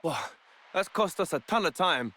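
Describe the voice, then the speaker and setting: An actor with an English accent playing a blunt, easygoing, warm-hearted young fighter in a video game cutscene, with colloquial, straight-talking delivery. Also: male voice, a little high, big pitch swings, moderate pace, heavily acted. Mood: mildly flustered.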